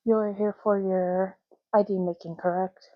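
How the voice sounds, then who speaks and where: A woman speaking softly in an ASMR roleplay, hushed, unhurried and gently explanatory, close to the microphone. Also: medium pitch, flat delivery, no echo, lo-fi sound. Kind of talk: soft-spoken ASMR roleplay.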